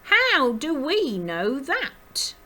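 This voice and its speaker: A woman's voice: high-pitched, wildly swooping pitch, drawn-out words, pushed and bright, pitched above the performer's own voice, full character voice. A woman voicing a stern, agitated female jackrabbit officer, speaking with accusing emphasis in a storybook reading.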